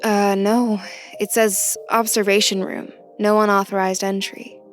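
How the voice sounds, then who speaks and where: A woman's voice: medium pitch, little pitch movement, measured pace, faint music behind, close to an everyday voice. Audio drama: young female newcomer, played by a woman, curious yet wary, natural conversational delivery, mid-range voice. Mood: exploring, intent. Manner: conversational, inquisitive. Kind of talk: audio fiction podcast acting.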